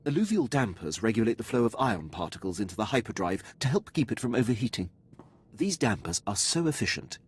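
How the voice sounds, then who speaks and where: Formal, husky military officer. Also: male voice, fairly low pitch, very animated, brisk. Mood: composed, pleased. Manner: clipped, deferential, formal. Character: formal, dutiful, precise male Imperial military officer. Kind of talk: video game voice acting.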